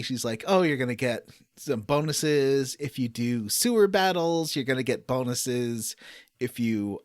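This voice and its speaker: Male gaming podcast host, mid-pitched, highly animated, jokey recapper who acts out dialogue, casual chat. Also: dry recording, clean studio sound. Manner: jokey, acts out characters' lines while recapping.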